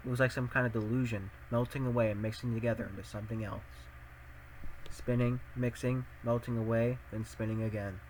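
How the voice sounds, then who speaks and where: A man playing an anxious, earnest teenage high-school boy who narrates his own thoughts in a visual novel fan dub, musing and questioning himself. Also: steady tone, fairly quick, a little hiss or hum, natural voice, rough recording. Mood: ominous, dramatic. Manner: self-questioning, musing aloud.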